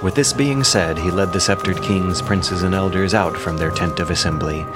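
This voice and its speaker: A man giving measured, theatrical narration of an epic audio drama over music. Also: deep voice.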